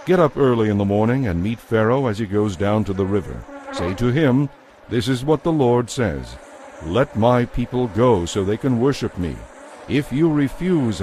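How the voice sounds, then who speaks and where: A man narrates a scripted Bible drama. His voice sits a little low, and he tells the story in a solemn, measured way. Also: a little mellow, slight background noise.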